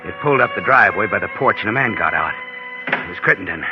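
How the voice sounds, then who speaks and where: An actor playing a scheming, stammering nephew and impostor in 1940s-style radio suspense, sliding between narration and agitated, defensive protest. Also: male voice, on the low side, expressive, quick pace, natural voice. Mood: cool, confiding. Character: scheming impostor nephew, nervous, glib, feigning shock. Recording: dull old radio recording, music behind.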